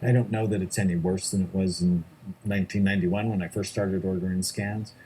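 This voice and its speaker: Husky-voiced emphatic male psychiatrist. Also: lowish voice, a little mellow. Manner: emphatic, repeats key points in lists. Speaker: psychiatrist guest. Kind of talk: interview answers.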